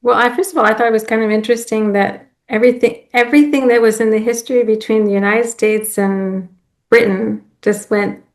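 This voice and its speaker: A woman's voice: medium pitch, creaky. A woman giving her views in a casual chat, with a chatty, incredulous way of talking. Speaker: guest commentator.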